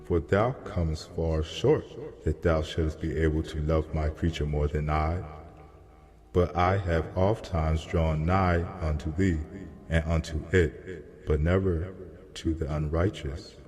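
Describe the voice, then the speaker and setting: Man acting a deep, slow, solemn heavenly messenger. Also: fairly even intonation, a little mellow. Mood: solemn, reassuring. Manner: measured, solemn, declamatory. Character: solemn male heavenly messenger answering a prophet. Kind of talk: dramatized scripture reading in archaic English. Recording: long cavernous reverb.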